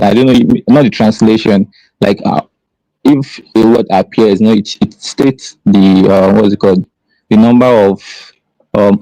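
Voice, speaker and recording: A man's voice: on the low side, dark tone, dry recording, low-quality recording. A man defending his reading of scripture in a live debate, talking hesitantly and restarting often. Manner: hesitant, many fillers and restarts.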